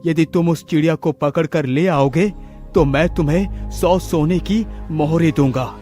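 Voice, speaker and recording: A man's voice: fairly high pitch, measured pace, warm tone, faint music behind, close to an everyday voice. A man voicing an indulgent king who gives orders in a cartoon story. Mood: commanding. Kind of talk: children's cartoon story voice acting.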